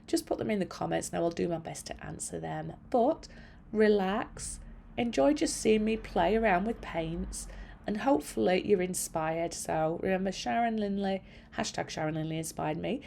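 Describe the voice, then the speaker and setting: A middle-aged woman with a raspy, hoarse voice, chatting casually and meanderingly while she paints at home. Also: medium pitch, animated, normal speed, mellow, slight background noise. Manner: chatty, meandering, self-effacing. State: hoarse from long illness. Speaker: art channel host. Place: at home by a window.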